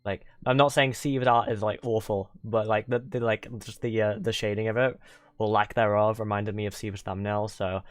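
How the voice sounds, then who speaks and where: A man's voice: fairly low pitch, little pitch movement, normal speed, clear, resonant tone, no echo. A young man streaming and chatting casually with viewers, rambling with lots of "like" and restarts, venting at times. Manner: venting, exasperated. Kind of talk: casual livestream chat with viewers. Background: faint game music.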